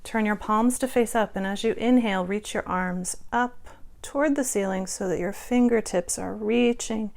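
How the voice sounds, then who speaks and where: A woman guiding a yoga and meditation practice as the instructor, cueing in a soothing, unhurried way with pauses between phrases. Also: mid-range voice, vocal fry, a little mellow.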